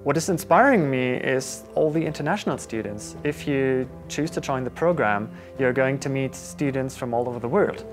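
A man's voice: mid-range voice, normal speed, hardly any pauses, soft background music. A man in his thirties, a doctoral student, speaking thoughtfully with some hesitation in an office. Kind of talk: promotional interview. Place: office with computer.

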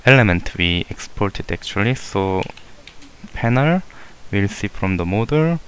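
A man with a very low voice talks slowly through a software tutorial, pausing often with fillers and correcting himself as he clicks along. A faint hiss sits under the recording. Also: rough recording. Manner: unhurried, hesitant, many fillers and self-corrections. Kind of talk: screen-recorded software walkthrough.

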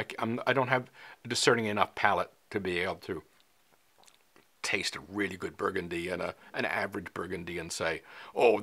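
A man's voice: on the low side, sharp tone. A man in his sixties talking casually to the camera in a small studio, conversational with lots of fillers and hand gestures. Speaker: fly fishing vlogger. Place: small indoor studio, dark backdrop.

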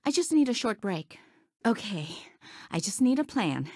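A plucky young heroine of a video game, a warrior with a good heart. Her voice sits fairly high and swings widely in pitch as she delivers short, earnest lines. The sound is dry and close, with no room on it. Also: normal speed, no room sound. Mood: musing. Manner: earnest, expressive, clearly enunciated short lines. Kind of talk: video game character voice clips.

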